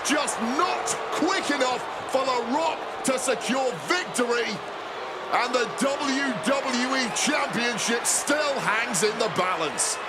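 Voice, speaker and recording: A man doing excited, dramatic play-by-play wrestling commentary in a video game. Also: extremely high pitch, takes it slowly, over loud music. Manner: dramatic, emphatic delivery. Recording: loud arena crowd noise over voice. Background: roaring wrestling arena crowd.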